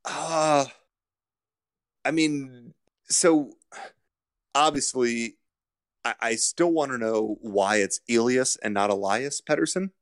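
A man appearing as a guest on a podcast, chatting in a relaxed, rambling way. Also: mid-range voice, slow pace, long pauses, dry recording, clean studio sound. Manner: relaxed, rambling, many fillers. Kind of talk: casual chat.

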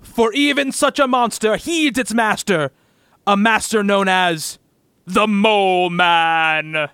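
A man's voice: sits high, slow pace, bright, forceful tone, smooth, no room sound, studio quality. A man narrating a comic-book radio play in a theatrical, portentous scripted style.

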